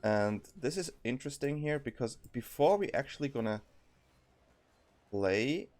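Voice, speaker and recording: A man's voice: mid-range voice, lively intonation, a little slow, a little mellow. A young man with a Swiss accent giving casual, thinking-aloud commentary as he plays a card game, with frequent ums and restarts. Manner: thinking aloud, many ums and self-corrections.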